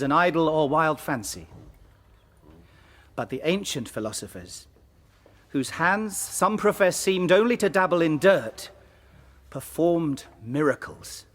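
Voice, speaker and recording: A man playing an earnest, eloquent professor giving a measured, reverent lecture in an audiobook drama. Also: on the high side, fairly bright tone. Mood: measured, dry.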